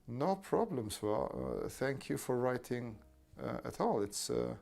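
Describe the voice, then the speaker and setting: Gravelly-voiced man chatting on a livestream. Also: medium pitch, animated, a little slow, a little mellow. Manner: chatty, talks viewers through drawing steps. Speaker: artist streamer answering chat. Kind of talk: casual livestream chat and drawing demo.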